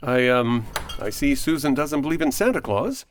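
A woman's voice: rumbling low, expressive, normal speed. A woman acting a genial, hesitant, wholesome male lawyer in a comic radio play, over faint noise. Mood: awkward, polite. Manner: wholesome, hesitant, old-fashioned. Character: earnest, genial, awkwardly hinting gentleman lawyer neighbor.

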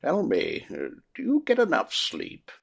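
A man acting as a kindly old Santa with a creaky, husky voice. He is polite and shrewd, with a very lively, swooping delivery, as in a comic radio play. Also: on the high side, a little slow, heavily acted. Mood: gently needling. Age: older. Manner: polite, gently probing.

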